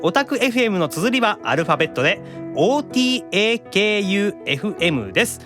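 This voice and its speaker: High-pitched, animated man hosting radio. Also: a little fast, music in the background. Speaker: radio show host. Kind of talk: upbeat radio show chat.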